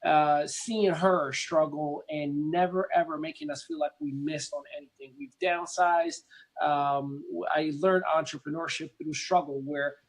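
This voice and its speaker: A man in his thirties with a Moroccan accent and a somewhat high-set voice. He talks earnestly and at length in an interview, with plenty of fillers, restarts and repeated words. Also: a little slow, low-quality recording. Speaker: interview guest. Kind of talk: conversational storytelling interview.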